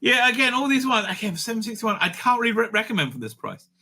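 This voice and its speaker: A man's voice: very high-pitched, very animated, fast, vocal fry, bright, forceful tone. A man giving casual, off-the-cuff, opinionated reviews in a rambling way, often tagging his phrases with a questioning "right?". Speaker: host of a livestream review show. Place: indoors at home.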